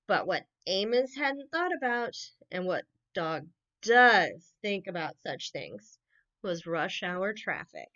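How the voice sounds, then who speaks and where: Lady reading a bedtime story to kids, real expressive and slow paced, kinda muffled lo-fi audio. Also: medium pitch. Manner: expressive, with exclamations and asides about the pictures. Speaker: camp unit head reading bedtime stories. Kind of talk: animated picture-book read-aloud for children.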